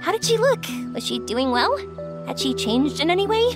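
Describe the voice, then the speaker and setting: A high, lively young woman from a video game adventure. She is cheerful and earnest, with a sentimental streak and very animated delivery. Music plays behind her. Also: normal speed. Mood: warm, curious. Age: young adult. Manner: bubbly, earnest, expressive. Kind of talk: video game character dialogue. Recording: game dialogue over background music.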